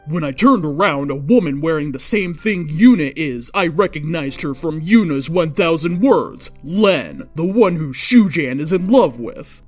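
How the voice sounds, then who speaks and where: A woman reading bad fan fiction aloud as a storyteller, with theatrical, drawn-out emphasis on key phrases, close to a microphone without a pop filter. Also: deep voice, expressive, a little mellow. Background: faint music.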